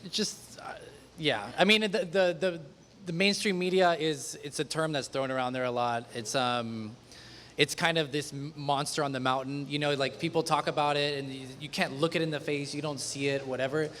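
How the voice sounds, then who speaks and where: A man speaking as a panelist in a halting, repetitive way. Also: fairly high pitch, steady tone, a bit bright. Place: event hall panel. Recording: reverberant hall.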